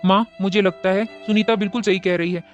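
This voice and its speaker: A man's voice: high-pitched, steady tone, fairly quick, lo-fi sound, faint music behind, close to an everyday voice. A man playing an unsympathetic, reproving elder brother in a cartoon moral story. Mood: stern. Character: stern, unsympathetic older brother of the family.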